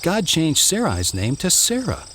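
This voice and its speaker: A man narrating a scripted children's Bible story in an expressive way, drawing out words for emphasis. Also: on the high side, measured pace, a little hiss or hum.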